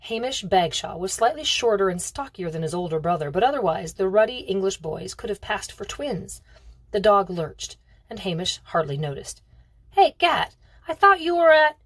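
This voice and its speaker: Woman, storybook narrator, lowish pitch, a little husky, expressive. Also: no room sound. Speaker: reader of a novel.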